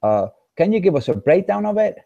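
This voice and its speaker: A man's voice: high voice, big pitch swings, a little fast, vocal fry, mellow, highs cut off. A man on a livestream asking questions in an incredulous way.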